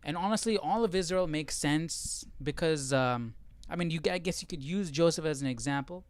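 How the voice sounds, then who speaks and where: A man's voice: on the high side, moderate pace, clear, resonant tone, dry recording. A man hosting a casual livestreamed study session, thinking aloud informally and reading passages out as he works through them step by step. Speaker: Bible study livestream host.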